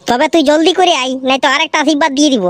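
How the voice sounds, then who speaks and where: High-pitched, bossy cartoon village ringleader. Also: rapid-fire, a bit bright, no room sound. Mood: bossy, teasing. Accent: rural Purulia Bengali. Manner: pushy, mock-threatening, repetitive. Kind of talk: comic cartoon dialogue.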